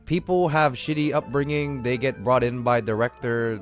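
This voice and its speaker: A man's voice: medium pitch, steady tone, moderate pace, faint music behind. A man streaming a game, commenting casually with swearing, repeated words and blurted exclamations. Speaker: game livestreamer reacting to story.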